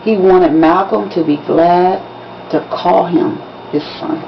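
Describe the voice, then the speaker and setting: A woman's voice: fairly low pitch, takes it slowly, creaky. Lady author reading out a story, dramatic delivery, kinda muffled audio w some background noise. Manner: dramatic, emphatic storytelling with acted dialogue. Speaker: author reading from their own novel. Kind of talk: expressive audiobook-style narration. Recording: dull lo-fi recording with background noise.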